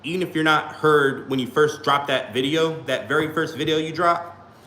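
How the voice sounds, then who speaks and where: A man's voice: on the high side, fairly even intonation, normal speed, pushed and bright. A man rambling casually to his livestream chat, leaning on "you know what I'm saying" and "you feel me.". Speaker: small YouTuber live-streaming. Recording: roomy echo, faint background hum.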